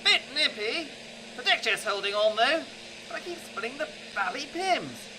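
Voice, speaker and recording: A jittery young British airman, played by a woman in a comic radio play. He speaks with excitable, jolly slang, in a lively, swooping voice. The tone is very bright. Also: fairly high pitch, normal speed, slight background noise, low-quality recording. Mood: anxious.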